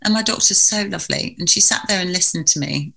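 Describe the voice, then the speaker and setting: A woman with a bright, forceful tone sitting slightly low in her range. She talks earnestly and reflectively as a guest in a heartfelt conversation. Her sentences wind on at length. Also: fast talker, gravelly, husky. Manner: earnest, reflective, speaks in long winding sentences.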